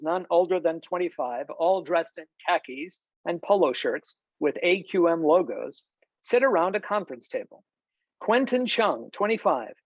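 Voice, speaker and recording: A narrator reads screenplay stage directions at a medium pitch. The sound is close and dry, with slightly dulled video-call audio. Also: no room sound, highs cut off. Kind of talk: scripted narration, screenplay table read.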